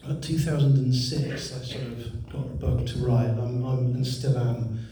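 A man's voice: medium pitch, steady tone, moderate pace, warm tone, a little hiss or hum. A man, an author and musician on a festival panel, talking in a self-deprecating way with stammering restarts. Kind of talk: panel talk. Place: hotel function room at a book festival. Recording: echoey hotel function room, distant mic.

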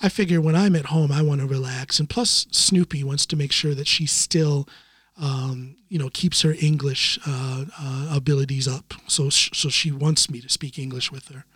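A man's voice: mid-range voice, steady tone, moderate pace, fairly bright tone, slightly rough, no echo, clean studio sound. A man hosting a podcast, talking casually and self-deprecatingly with frequent restarts. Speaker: podcast host. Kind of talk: casual conversation.

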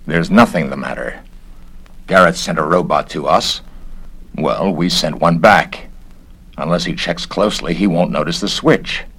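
A man's voice: deep voice, measured pace, fairly bright tone, full character voice. A man voicing a cool, sly, sinister host in a storybook reading, with sardonic politeness. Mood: calculating. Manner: smooth-mannered, sardonic.

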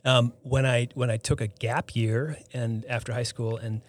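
A middle-aged man from the Boston area, a physician and health center CEO, answering interview questions thoughtfully with many pauses and restarts. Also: medium pitch, flat delivery. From Boston area, United States. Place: studio.

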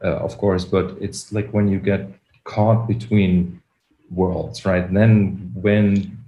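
A man's voice: low-pitched, flat delivery, slow, warm tone. Guy chatting, keeps restarting sentences, echoey room. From Germany. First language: German. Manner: hesitant, searching for words, many restarts. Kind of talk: casual conversation.

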